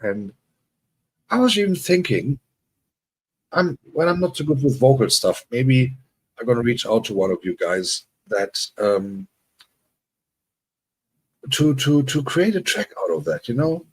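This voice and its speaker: A man's voice: mid-range voice, sing-song, measured pace, pauses often, breathy edge, low-quality recording. A man from Germany, hosting a casual livestream chat and rambling with many restarts and self-deprecating asides. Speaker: livestream host.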